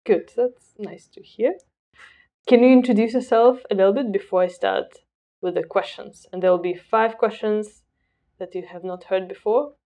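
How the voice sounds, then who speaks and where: A woman hosting a conversational interview podcast, putting questions to her guest in a calm way. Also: medium pitch, moderate pace, lo-fi sound. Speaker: podcast host.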